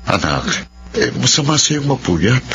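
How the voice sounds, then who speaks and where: A man playing a gentle, concerned father of a grown child in a Filipino radio drama. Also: medium pitch, sing-song, unhurried, vocal fry, exaggerated voice. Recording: dull lo-fi with music behind.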